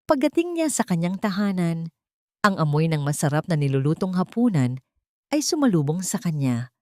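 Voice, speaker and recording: A woman narrating a scripted dramatic story in a measured, solemn and sentimental manner. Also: fairly low pitch, big pitch swings, darkish tone, no echo, clean studio sound. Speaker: narrator of audio drama stories.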